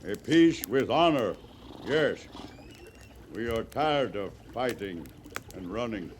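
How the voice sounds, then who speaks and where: A man's voice: lowish voice, expressive, takes it slowly. An actor playing a weary, proud Cheyenne band leader and bereaved father in a radio western, speaking solemnly in formal, simple phrases. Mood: weary, wary. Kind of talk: 1950s radio western acting. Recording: noisy vintage radio western recording.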